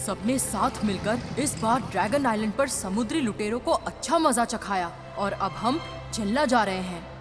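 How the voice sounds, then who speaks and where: A woman's voice: medium pitch, animated, normal speed, over music, lightly acted. A woman voicing an eager young seafarer who tells of a voyage in a cartoon dub, with long reverb on the voice. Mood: storytelling.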